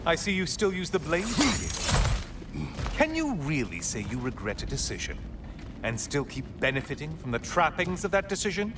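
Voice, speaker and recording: A sneering, sarcastic sun god. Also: male voice, a little high, very noisy. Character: mocking, bitter man, a sun god. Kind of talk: video game dialogue. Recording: game audio with loud music.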